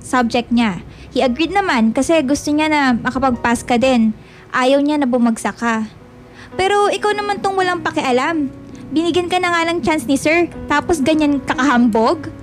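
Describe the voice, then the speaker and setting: A woman acting as a high-voiced young Filipina scholar in a radio drama, earnest and quick to scold. She switches between Tagalog and English, with faint music behind her. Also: close to an everyday voice. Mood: exasperated. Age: young adult. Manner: indignant, mixes Tagalog and English.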